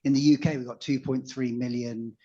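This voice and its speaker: A man's voice: medium pitch, fairly even intonation, brisk, warm tone. A man, politely asking the panel a question from the audience. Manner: polite, formal. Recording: slightly dull conference audio.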